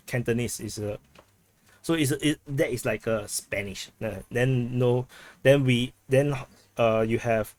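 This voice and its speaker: A man in casual group chat on a low-quality backup recording, talking haltingly with many restarts while he explains things through comparisons. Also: darkish tone. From Southeast Asia. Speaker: chat participant. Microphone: video call.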